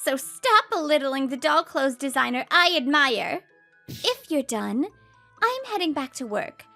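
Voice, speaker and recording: A woman's voice: high-pitched, pushed and bright, full character voice. A woman voicing a poised, protective professional woman in a comic dub, speaking with composed assertiveness.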